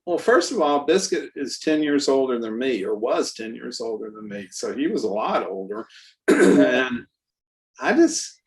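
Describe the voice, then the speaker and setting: A man's voice: mid-range voice, unhurried. Rambling man in his sixties. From Texas. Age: 60s. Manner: rambling, relaxed storyteller with many fillers. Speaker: guest artist and musician. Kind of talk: casual conversational interview.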